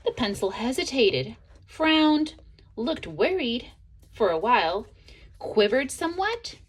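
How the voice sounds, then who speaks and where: A woman reading a children's picture book aloud in an expressive way, as a teacher would to young listeners. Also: a little high, breathy edge. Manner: expressive, pauses to ask listeners questions. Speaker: storybook reader, teacher.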